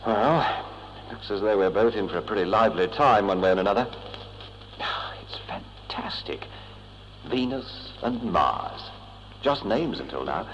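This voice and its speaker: An earnest spaceman with a mid-pitched, very animated delivery, dramatic in the manner of old radio serials. The sound is a dull, reverberant tape. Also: measured pace. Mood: hushed, pondering. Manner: dramatic, questioning, expressive. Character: earnest, indignant male space explorer held captive. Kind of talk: vintage science-fiction radio drama acting. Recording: muffled, hissy old tape, long reverb.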